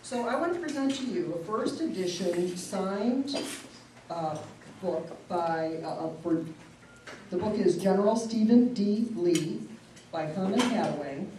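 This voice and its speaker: A woman presenting gifts at a dinner, chatty, with fillers and laughs. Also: on the low side. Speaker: event organizer presenting gifts. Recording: echoey hall from far off, noisy.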